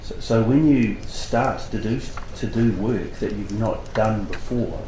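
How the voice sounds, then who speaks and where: A man's voice: on the low side, measured pace, warm tone. A man council manager answering a committee in an assured, conversational way. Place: council chamber. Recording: echoey noisy chamber.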